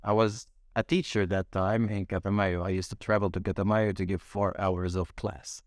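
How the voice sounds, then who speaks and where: A man's voice: deep voice, flat delivery, moderate pace, no echo, studio quality. A young man from Palestine who owns a restaurant, telling his story in an interview and often restarting mid-sentence as he hunts for words. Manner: searching for words, frequent restarts. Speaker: restaurant owner. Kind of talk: casual interview. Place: small restaurant room.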